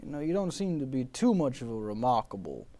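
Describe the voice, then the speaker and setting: A man playing the morose, wonderstruck revived spirit of an old-fashioned poet, speaking in halting, theatrical, genteel phrases in an improvised comedy interview. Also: medium pitch, sing-song, dark tone, natural voice. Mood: musing.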